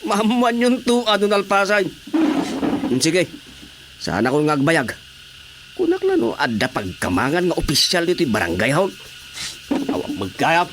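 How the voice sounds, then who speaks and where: A man with a Filipino accent playing an animated, teasing village storyteller in a radio comedy drama. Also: on the high side, unhurried, pauses often, background noise.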